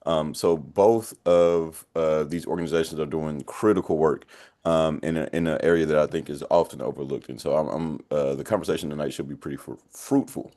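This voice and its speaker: Man, webinar moderator, very low voice, brisk delivery, upbeat. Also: fairly even intonation, mellow. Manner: upbeat, repeats words when thanking. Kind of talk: panel moderation.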